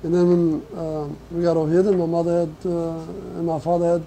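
An older man from Portugal recalls the past for an interviewer, halting often as he gathers his thoughts. His voice sits high for a man yet sounds deeply dark and mellow. A faint hiss runs under the recording. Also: completely flat delivery, poor audio. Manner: halting, reflective, slow recall. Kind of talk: oral history reminiscence.

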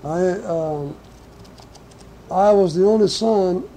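An older man from Portugal recalls the past for an interviewer, halting often as he gathers his thoughts. His voice sits high for a man yet sounds deeply dark and mellow. A faint hiss runs under the recording. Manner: halting, reflective, slow recall. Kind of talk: oral history reminiscence.

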